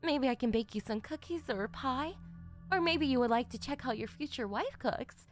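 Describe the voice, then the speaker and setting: A woman's voice: high-pitched, expressive, normal speed, soft background music. A woman voicing a teasing, doting middle-aged mother in an anime-style ASMR roleplay, with coy, playful endearments.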